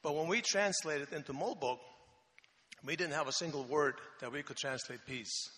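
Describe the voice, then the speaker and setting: A man in his sixties from the Faroe Islands, speaking, relaxed and joking, with many pauses and fillers as he is interviewed at church. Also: a little high. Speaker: missionary Bible translation consultant. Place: church sanctuary. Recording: reverberant church hall.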